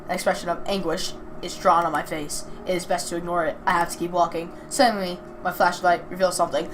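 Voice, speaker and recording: A woman's voice: on the low side, noisy background. A woman hosting a let's play, reading a visual novel aloud with casual asides and stumbling over some words as she goes. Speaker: video host playing a visual novel.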